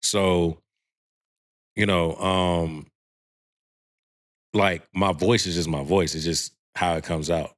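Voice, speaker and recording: Guy with a real low heavy baritone, laid back, rambles with lots of "like". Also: steady tone, takes it slowly, pauses often. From Houston, Texas. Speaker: guest singer. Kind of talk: casual podcast chat.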